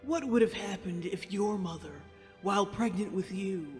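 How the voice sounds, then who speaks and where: A reaction video host with an animated, theatrical, mocking and profane manner, switching between commentary and dramatic reading. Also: mid-range voice, normal speed, faint music behind. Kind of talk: reaction commentary mixed with dramatic read-aloud of a comic.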